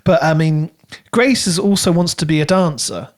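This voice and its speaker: A man's voice: fairly high pitch, expressive, normal speed. Man in casual podcast book chat. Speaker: podcast co-host reviewing a book. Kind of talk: casual conversational book discussion.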